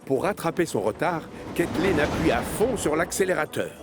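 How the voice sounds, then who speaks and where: A man giving scripted storybook narration for a children's cartoon, in a measured, expressive way. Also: on the high side. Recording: cartoon music underneath.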